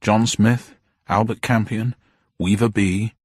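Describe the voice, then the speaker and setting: A man plays a detective bluffing his way through an audio mystery. He sounds casual and faintly bored over hidden panic, with a low, husky voice. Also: steady tone, slow, no room sound, studio quality. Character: amnesiac detective hiding panic behind bored nonchalance.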